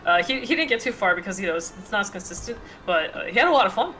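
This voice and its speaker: A woman's voice: mid-range voice, lively intonation, very fast, a bit bright, low-quality recording. A woman whose first language is Russian, chatting enthusiastically as a panelist on a card game stream and laughing a lot. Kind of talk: casual chat.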